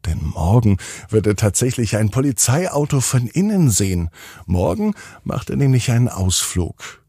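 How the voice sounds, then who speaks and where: A man narrates for children in a low, creaky voice. He speaks as a podcast storyteller, addressing his young listeners directly with simple phrasing. The recording is close and dry. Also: dark tone, no echo. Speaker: podcast host and bedtime storyteller.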